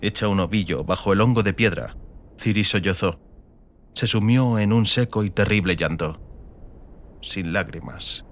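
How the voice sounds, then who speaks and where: A man's voice: on the low side, normal speed, long pauses. A man reading a scripted, dramatic fantasy audiobook narration.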